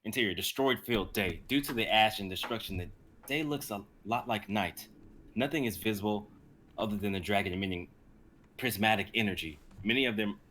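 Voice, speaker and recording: Low-voiced young man narrating a fantasy script. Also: sharp tone, a little hiss or hum. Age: young adult. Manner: reads stage directions, stumbling over phrases. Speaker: narrator and reader. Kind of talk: dramatic reading of a fantasy screenplay.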